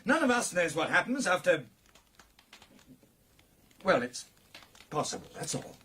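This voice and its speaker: An actor playing an anxious, superstitious British gentleman in a radio drama, hesitant and earnest. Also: high-pitched, sing-song, measured pace, husky, exaggerated voice. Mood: uneasy. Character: anxious, superstitious gentleman pleading with his friends.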